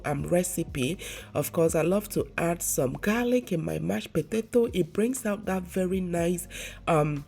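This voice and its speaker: A woman's voice: lowish voice, dark tone. A woman lifestyle vlogger talking casually to her viewers. She has a congested, stuffy nose from a severe cold and rambles chattily with lots of fillers. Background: faint background music.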